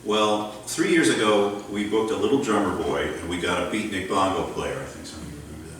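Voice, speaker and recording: A man's voice: low-pitched, a little fast, a bit bright, a little hiss or hum. A man acting as co-host and sound effects man, trading dry, scripted jokes with the host in front of a live audience. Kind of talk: scripted comic banter with the host. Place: large echoey room with a live audience. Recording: echoey hall from far off.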